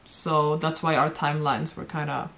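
A woman's voice: deep voice, little pitch movement, moderate pace, a bit bright. A young woman from Sweden chatting casually at home about her past modeling work, with frequent giggles. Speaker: former model, guest. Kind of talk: casual storytelling chat. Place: bright home room, seated on sofa.

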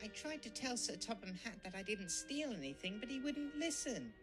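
A woman's voice: fairly high pitch, big pitch swings, moderate pace, music in the background. A woman voicing a miserable, wronged little male tank engine in a fan cartoon dub, plaintive and downcast. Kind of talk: fan comic dub voice acting.

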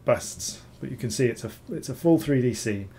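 A man, hoarse from recovering from illness, talking through a screen-recorded software tutorial, rambling with many ums and repeated words. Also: mid-range voice, lively intonation, moderate pace, slight background noise. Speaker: bas-relief sculptor reviewing software.

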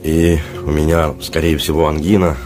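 Sick guy vlogging on his phone, super deep monotone voice, sore throat, halting casual talk. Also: noisy background. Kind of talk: casual vlog talk to camera. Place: small dormitory room, indoors. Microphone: handheld phone at arm's length.

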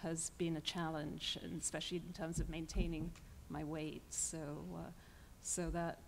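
A middle-aged woman speaking on a conference panel in a measured, matter-of-fact way into a stand microphone. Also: low-pitched, flat delivery, creaky, a little hiss or hum, rough recording. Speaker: patient panelist. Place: conference hall stage panel.

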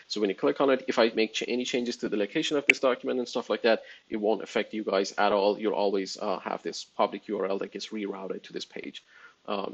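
A man whose first language is Farsi, walking through a software release in a relaxed, rambling way, with frequent fillers. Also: medium pitch, lo-fi sound. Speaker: software developer presenting a release. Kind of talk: casual screen-share walkthrough.

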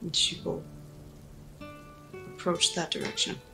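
A woman's voice: slow pace, music in the background, poor audio. A woman improvising character description as a player in a tabletop game, thoughtfully piecing her phrases together. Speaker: player in a role-playing podcast.

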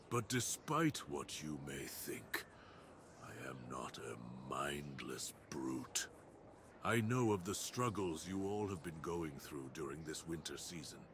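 A man's voice: deep voice, a bit bright, slight background noise, full character voice. A man voicing a proud, menacing dire wolf beast in an ASMR roleplay, speaking with grave, commanding, theatrical deliberation. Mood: contemptuous, menacing.

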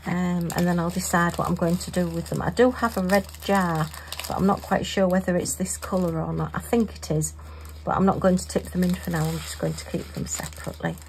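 A middle-aged woman talking casually to herself while crafting, her voice going hoarse. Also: on the low side, fairly even intonation, fairly quick, a little hiss or hum, low-quality recording. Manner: chatty, talks to herself. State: losing her voice. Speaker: craft vlogger.

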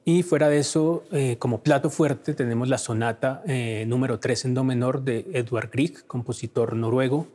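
A man's voice: medium pitch, fairly even intonation, moderate pace, few pauses, mellow. A Colombian man in his forties, a violinist speaking in an interview, thoughtful with frequent hesitations, recorded on a lapel mic in a concert hall.

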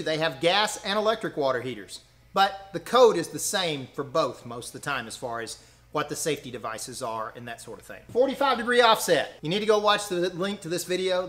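A man explains things to camera in a plain-spoken, folksy way. His voice sits a bit higher than most men's, and he hammers home short instructions one after another. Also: a bit bright. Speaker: master plumber teaching exam prep. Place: indoor training room in front of a whiteboard.

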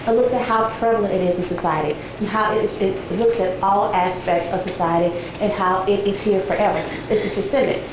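A woman in her forties giving an academic talk to a seated audience, soft-spoken and conversational with frequent ums, heard from a distant camera in a large seminar room. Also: mid-range voice, fairly quick, few pauses. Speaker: guest lecturer. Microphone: far camera, no visible mic. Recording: echoey room, noisy background.

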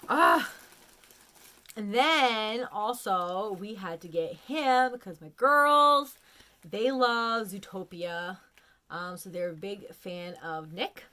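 A woman in her forties with an American accent, chatting casually and excitedly to camera in a room at home. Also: a little high. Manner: excitable, gushing. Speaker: vlogger showing a haul of collectibles. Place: small room at home, indoors.

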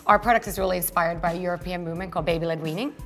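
A woman's voice: fairly low pitch, fairly even intonation, rapid-fire, soft background music. Earnest Finnish-born woman explaining her business. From Finland. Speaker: co-founder and guest. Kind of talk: business interview conversation. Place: open-plan office, indoors.

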